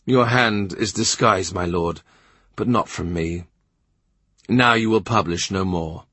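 A man's voice: low-pitched, slow, fairly bright tone, breathy edge, no echo, full character voice. A man voicing a shrewd middle-aged royal minister who talks in a dry, cutting, sardonic way. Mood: cool, sardonic. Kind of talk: audiobook character reading.

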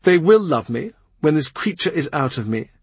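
An imperious, sharp-tongued young queen, as a male audiobook reader puts her on, scornful and commanding in a raised, husky voice. The recording is close and dry. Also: on the high side, sing-song, moderate pace, dry recording, voice pushed up, exaggerated voice.

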